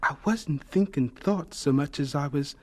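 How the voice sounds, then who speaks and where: Earnest husky-voiced young man, high-pitched. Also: a little slow, a little mellow. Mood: wistful. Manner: polite, deferential. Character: earnest, humble, eager young man seeking work. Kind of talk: radio drama acting.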